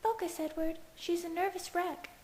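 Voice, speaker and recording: A woman's voice: extremely high pitch, fairly even intonation, normal speed, darkish tone, pitched above the performer's own voice. A woman voicing an earnest, insistent young female vampire who reassures others, in an audiobook story. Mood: concerned, urging. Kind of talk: audiobook character voice. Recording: hissy home recording.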